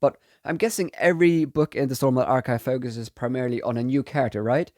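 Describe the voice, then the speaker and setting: A man's voice: mid-range voice, fast talker, a little mellow, no room sound, studio quality. A young man reviewing a fantasy novel in a casual, chatty way while he has a cold. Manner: enthusiastic, chatty, repeats himself. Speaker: book reviewer. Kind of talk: casual book review vlog.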